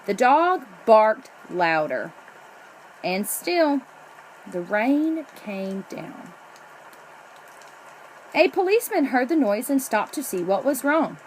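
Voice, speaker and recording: A woman reading a children's picture book aloud in an expressive storytelling way, drawing out the ends of phrases. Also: on the high side, slow pace, long pauses, a little hiss or hum, low-quality recording. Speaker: storybook reader.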